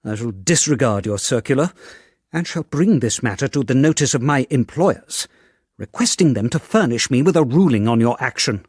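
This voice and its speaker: Husky, indignant British old-school schoolmaster. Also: male voice, mid-range voice, expressive, moderate pace, a little mellow, no room sound, clean studio sound. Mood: indignant, pompous. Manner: pompous, stern, emphatic. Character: indignant, reactionary old-school male teacher. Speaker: actor. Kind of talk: radio drama letter reading.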